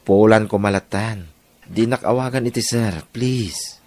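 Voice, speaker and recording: A man's voice: fairly low pitch, steady tone, a little slow, a little mellow, no room sound. A man with an Ilocano accent playing an apologetic widowed father who coaxes his small child in an audio drama. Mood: earnest.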